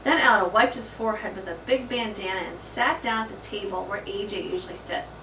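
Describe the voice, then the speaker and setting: A woman narrating a children's storybook aloud in an expressive, dramatic and emphatic style. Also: medium pitch, a little fast. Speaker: school principal reading aloud. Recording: echoey room, distant mic, faint noise.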